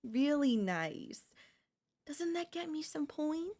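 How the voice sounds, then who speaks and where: A woman acts out a talkative female zombie from a comedic audio roleplay. Her voice sits fairly high and swings in lively, animated arcs. She is cheeky and breezy, coaxing like an overly friendly pal. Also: slow pace, heavily acted. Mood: nervous, placating. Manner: breezy coaxing, falsely friendly, awkward backpedalling. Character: chatty, cheeky female zombie leading a horde.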